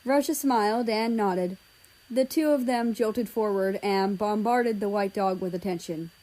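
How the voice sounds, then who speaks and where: A woman's voice: medium pitch, a little slow, darkish tone, poor audio. A woman narrating a written story as its reader.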